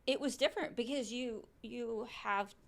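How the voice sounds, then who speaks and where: A woman co-hosting a podcast in casual chat, playful and teasing, chiming in with quick agreement. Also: a little high, creaky. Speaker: podcast co-host, former therapist.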